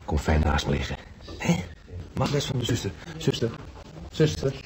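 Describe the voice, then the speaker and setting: A man's voice: medium pitch, big pitch swings, moderate pace, close to an everyday voice. An actor playing a blunt, teasing married man who is a hospital patient, in a naturalistic radio drama. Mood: coaxing.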